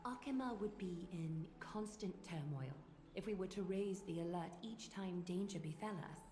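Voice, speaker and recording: A woman voicing a composed, stately leader in a fantasy video game, speaking in a formal, measured, authoritative way. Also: lowish voice, darkish tone, a little hiss or hum, lightly acted. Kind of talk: English video game dub acting. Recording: echoing game audio under music.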